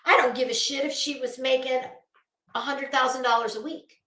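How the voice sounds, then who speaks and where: Woman ranting off the cuff, higher voice, scornful and blunt, kinda roomy echo. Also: steady tone, moderate pace, sharp tone. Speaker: YouTube channel host. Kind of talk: unscripted opinion rant livestream. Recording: roomy, echoing sound.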